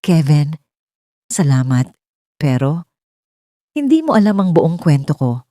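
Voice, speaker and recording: A woman's voice: deep voice, very animated, takes it slowly, mellow, no echo, studio quality, full character voice. A woman voicing a guarded, honest widowed mother in a romance story, hesitant, polite and pleading. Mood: heavy, pleading.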